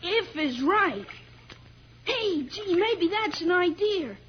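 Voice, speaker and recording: Woman doing a bossy gutsy kid boy, very high voice, blunt, old radio drama with faint hiss. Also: lively intonation, slow pace, full character voice. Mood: worried, urgent. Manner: scolding, blunt, earnest. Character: bossy, brave, impatient young boy. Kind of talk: 1950s radio drama acting. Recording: muffled vintage radio recording.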